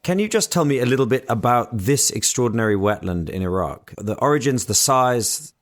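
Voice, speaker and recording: A man's voice: medium pitch, expressive, fairly quick. A British man hosting a podcast interview in a polite, conversational way with hesitant phrasing. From Britain.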